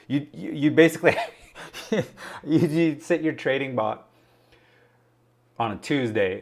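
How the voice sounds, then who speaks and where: A man casually hosting a livestream, rambling, laughing often and reading out viewer chat, his voice a little raspy. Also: fairly low pitch. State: slightly raspy voice. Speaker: livestream host.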